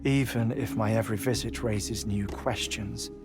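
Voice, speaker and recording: An actor playing a gentle, obsessive male scientist with a weak heart, who talks in a soft, thoughtful, deliberate way. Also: lowish voice, steady tone, close to an everyday voice. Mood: musing. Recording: game cutscene with music behind.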